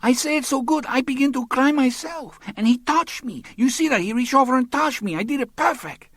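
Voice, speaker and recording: A man doing a young woman, pitched well up and aggrieved. She complains in broken English, whiny and sing-song. It sits in a dry, close audiobook recording. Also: a little fast, a bit bright, dry recording. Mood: complaining.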